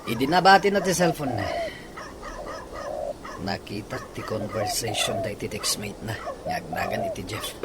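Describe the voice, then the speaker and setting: A man's voice: medium pitch, big pitch swings, moderate pace, long pauses. An actor playing a heartbroken young man confiding plaintively in his friend in a radio drama. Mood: hurt. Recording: noisy, with ambient sound.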